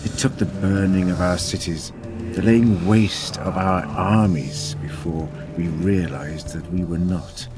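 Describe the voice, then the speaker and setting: An actor playing a ruthless, grandiose scientist who resents being called a traitor, speaking slowly and with menace in an audio drama. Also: male voice, sits low. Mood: menacing. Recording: music behind the voice.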